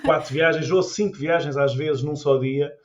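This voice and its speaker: A man's voice: fairly high pitch, moderate pace, a crowd in the background. A man with a European Portuguese accent, a tour guide chatting as a podcast guest, telling stories with lots of restarts. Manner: animated storyteller with many restarts. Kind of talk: casual interview chat.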